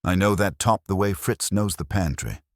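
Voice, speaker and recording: Very deep-voiced man narrating detective fiction. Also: moderate pace, no echo, studio quality. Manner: wry, wisecracking. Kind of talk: scripted first-person detective audiobook narration.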